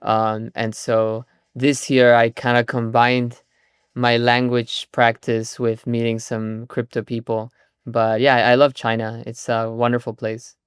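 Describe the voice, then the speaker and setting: A man in his thirties from Chile, a founder answering interview questions in a relaxed, chatty way. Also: medium pitch, measured pace, clean tone, no room sound, clean studio sound. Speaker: guest, startup founder.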